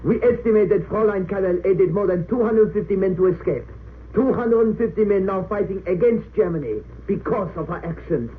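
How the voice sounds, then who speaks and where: High-pitched, imperious military judge, vintage radio. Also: male voice, lively intonation, a little fast. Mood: cold, sardonic. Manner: imperious, sarcastic, clipped interrogation. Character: stern, sneering German military court judge. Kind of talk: old-time radio drama acting. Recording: tinny narrow-band old radio recording, hissy.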